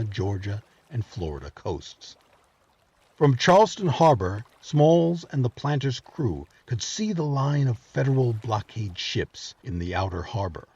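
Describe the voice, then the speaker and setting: A man narrating scripted history as a series host, with a dramatic, measured storytelling delivery. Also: mid-range voice, darkish tone, husky, lo-fi sound.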